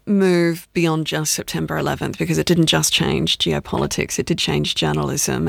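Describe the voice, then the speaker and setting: A male radio host interviews a guest in a careful, measured way. His voice is deeply gravelly with lots of fry. The sound is dry and close. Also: no echo.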